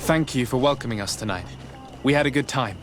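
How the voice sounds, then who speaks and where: Courteous, calm male game hero played by an actor. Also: medium pitch, a little fast, music in the background, close to an everyday voice. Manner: courteous, even-tempered.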